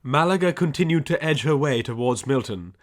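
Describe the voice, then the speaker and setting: A man's voice: mid-range voice, expressive, fairly quick. A man reading a scripted adventure story as an audiobook narrator, with dramatic delivery.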